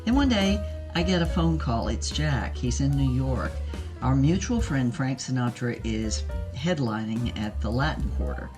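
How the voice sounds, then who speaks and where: A woman's voice: extremely low pitch, lively intonation, music in the background. An actress playing a brazen, worldly ninety-year-old film star woman, recounting her affairs frankly and sassily in an audio drama interview. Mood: wry. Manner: frank, sassy, unhurried storytelling.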